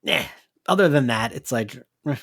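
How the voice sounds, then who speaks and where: Chatty podcast co-host, very animated pitch. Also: male voice, moderate pace. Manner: chatty, filler-heavy, excitable tangents. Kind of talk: casual recap chat about an audio drama.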